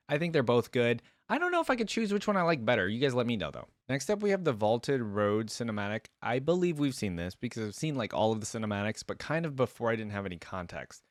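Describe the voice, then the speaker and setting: A man's voice: mid-range voice, no room sound, studio quality. A man hosting a reaction video with casual, informal commentary, rambling as he thinks aloud. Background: game music playing.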